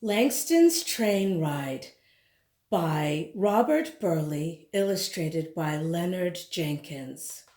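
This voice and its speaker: A woman reading a picture book aloud to children, expressively, pausing to speak directly to her young listeners. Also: fairly low pitch, expressive, a little mellow, echoey room. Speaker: children's story reader.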